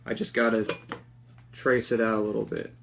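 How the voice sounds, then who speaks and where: A young man casually narrating a hands-on project, half talking to himself in a halting mutter as he works in a living room. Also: on the low side, little pitch movement. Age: young adult. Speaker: DIY vlogger. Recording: dull lo-fi sound, clear background noise.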